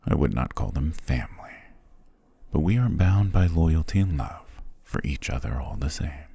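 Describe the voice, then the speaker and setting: A man playing an ancient, imperious and possessive vampire patriarch in a fantasy ASMR roleplay, speaking in a formal, commanding, measured way. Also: animated, warm tone, no echo, heavily acted. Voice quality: very deep, gravelly, breathy.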